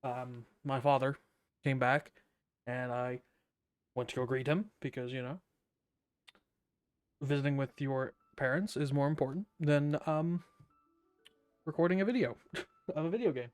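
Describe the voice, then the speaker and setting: A man's voice: mid-range voice, measured pace, long pauses, smooth, dry recording, faint music behind. A man streaming a game live, casually joking and laughing about the story. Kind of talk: casual live gaming commentary.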